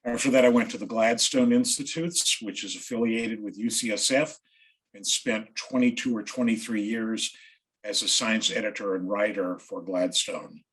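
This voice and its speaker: A man's voice: on the low side, normal speed, husky, poor audio. A man from West Virginia, a podcast guest, steadily recounting his career step by step. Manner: steady, orderly. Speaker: guest, science writer.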